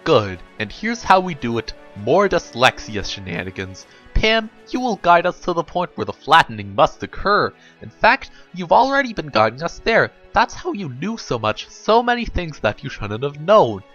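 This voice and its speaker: A man's voice: a little high, sing-song, unhurried, hardly any pauses, bright, forceful tone, dull audio, faint music behind, heavily acted. Pompous, emphatic storybook girl lecturer acted by a man. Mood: solemn, commanding.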